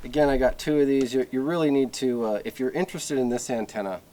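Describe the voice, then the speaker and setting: A man giving a casual, unscripted product overview in a halting, conversational way with plenty of filler words. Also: mid-range voice, warm tone. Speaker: product reviewer.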